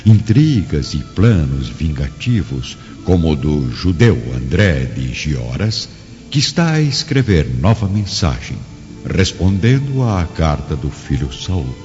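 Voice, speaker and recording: A solemn Brazilian man narrates a radio drama in a very low, slow voice. His theatrical delivery rises and falls widely, in a dull recording with long reverb and music behind. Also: very animated, a little mellow.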